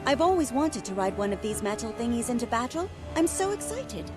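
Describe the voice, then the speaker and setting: A woman playing an eager, plucky young fantasy adventurer in a video game, expressive and earnest. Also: on the high side, brisk. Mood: playful, excited. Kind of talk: video game cutscene acting. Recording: loud game music behind.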